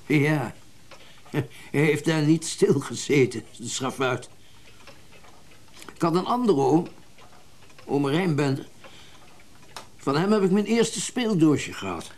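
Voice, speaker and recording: An actor playing a wealthy, well-bred middle-aged collector in a radio drama, speaking in a genteel, reflective way with hesitant pauses. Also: male voice, fairly high pitch, big pitch swings, darkish tone, full character voice. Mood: reminiscing. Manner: genteel, reflective, hesitant pauses, old-fashioned turns of phrase. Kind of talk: naturalistic radio drama dialogue.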